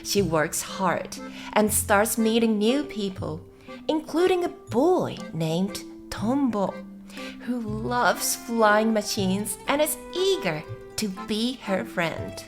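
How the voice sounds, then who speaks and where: A woman who is a native Japanese speaker, softly and carefully reading a story aloud in a slow, measured way for English learners. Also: on the high side, sing-song. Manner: soft, measured, careful phrasing. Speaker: English teacher and channel host. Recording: music behind the voice. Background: background music.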